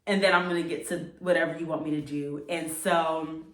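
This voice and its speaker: A woman's voice: low-pitched, fairly even intonation, fairly quick, fairly bright tone. A woman in her late twenties with an American accent, telling a personal story casually and reflectively, as a vlogger does, with emphatic repeated phrases. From United States. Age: late 20s. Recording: echoey room, distant microphone.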